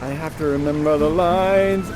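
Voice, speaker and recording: A man's voice: fairly high pitch, moderate pace, over music, close to an everyday voice. A man voicing a flustered goddess of harvest who rambles and frets, then recites solemn verse. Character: flustered goddess of harvest, forgets her lines.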